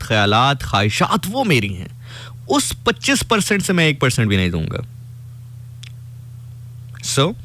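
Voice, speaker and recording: A young man with a Pakistani accent, telling a motivational story as a radio host, emphatic and given to measured repeated lists, slipping between two languages. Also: mid-range voice, a bit bright. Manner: switches between Urdu and English.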